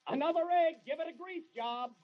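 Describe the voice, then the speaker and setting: An actor playing a sassy, combative waiter full of sarcastic wisecracks in a 1940s radio comedy. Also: male voice, very high voice, brisk. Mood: brisk. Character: sassy, wisecracking waiter quick with insults and diner slang. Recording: muffled, noisy old radio recording, clicks.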